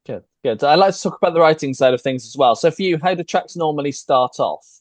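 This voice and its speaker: A man interviewing a singer, answering with short affirmations and then asking steady questions. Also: fairly high pitch, fast, no echo.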